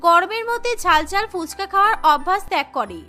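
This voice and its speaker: A woman's voice: very high voice, very animated, brisk. A woman reading scripted narration for a cartoon story, with music behind her.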